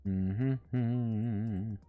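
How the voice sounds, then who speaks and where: A man's voice: on the low side, steady tone, extremely slow, narrow, tinny audio, faint music behind. A man live streaming as a game designer, rambling casually between reading draft text aloud and making chuckling asides.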